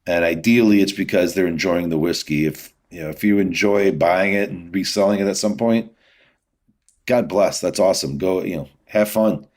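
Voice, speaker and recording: A man's voice: deep voice, moderate pace, darkish tone. A middle-aged man talking casually as an interview guest, explaining things in a rambling, easygoing way. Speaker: podcast guest, whiskey distiller.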